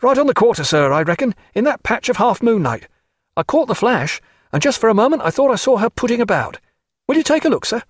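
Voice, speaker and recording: A man's voice: very high-pitched, very animated, fairly quick, no echo, clean studio sound, voice pushed up, heavily acted. A man voicing a keen, respectful naval officer in an audiobook, reporting eagerly and deferentially to his captain. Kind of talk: audiobook character voice.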